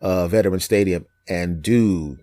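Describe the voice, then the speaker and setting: A man in his fifties from Philadelphia, chatting as a comedian guest and telling animated, joking stories. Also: low-pitched, dry recording, studio quality. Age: 50s. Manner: animated joking storyteller, quick asides. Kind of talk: casual chat.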